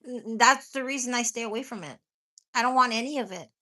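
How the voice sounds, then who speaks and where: A woman's voice: on the high side, a little fast, pushed and bright. A woman hosting a casual live chat with her viewers, rambling, swearing freely and laughing often, with a stuffy nose and a cough. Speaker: livestream host chatting with viewers.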